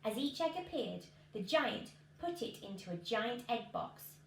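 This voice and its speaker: A woman in her thirties reads a children's storybook expressively. Her voice is fairly high. She is recorded in an echoey living room with faint noise. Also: very animated, a little slow, a bit bright. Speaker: teacher reading a story. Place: living room at home, indoors. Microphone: camera a few feet away.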